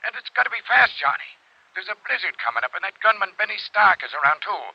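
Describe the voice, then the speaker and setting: Anxious, high-voiced small-town father. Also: sing-song, quick pace, creaky, slightly rough, exaggerated voice. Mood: frantic. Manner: agitated, hesitant, worried. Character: anxious small-town father searching for his missing daughter. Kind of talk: 1950s radio drama acting. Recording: muffled old radio recording.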